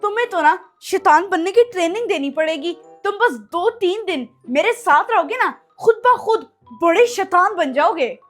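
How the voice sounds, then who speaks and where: A woman's voice: very high-pitched, lively intonation, moderate pace, hardly any pauses, soft background music. A woman playing a cheeky, lazy schoolboy son in a comic family skit, with a bossy, mischievous manner.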